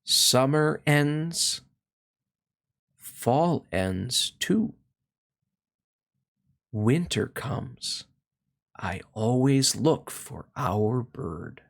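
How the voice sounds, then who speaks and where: A man hosting a read-along, reading a children's picture book aloud in a slow, expressive way and then chatting about it. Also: gravelly. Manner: slow, expressive storytelling with swooping pitch.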